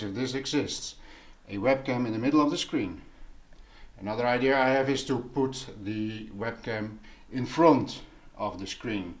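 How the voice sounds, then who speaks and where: A man talking casually as a vlog host, explaining things in a musing, unhurried manner while filming indoors. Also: on the low side, darkish tone. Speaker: audio vlogger, host. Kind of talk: casual vlog talk over handheld footage. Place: living room with carpet and couch, indoors.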